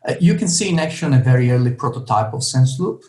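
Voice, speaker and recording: Italian man giving a video-call pitch. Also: expressive, fast talker, warm tone. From Italy. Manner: earnest, with restarts and repeated words. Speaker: researcher presenting. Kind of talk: online pitch presentation. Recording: roomy video call audio.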